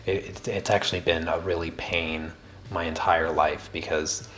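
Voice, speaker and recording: Soft-spoken man with a very low voice. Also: fairly even intonation. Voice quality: very soft, quiet voice. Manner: slow, deliberate cadence with many restarts. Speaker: art livestream host. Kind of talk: casual chat while drawing on a livestream.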